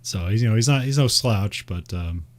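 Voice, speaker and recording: A man's voice: lowish voice, animated, normal speed. A man with a Midwestern American accent, chatting casually and rambling, with frequent laughs and fillers. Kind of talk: casual chat about racing.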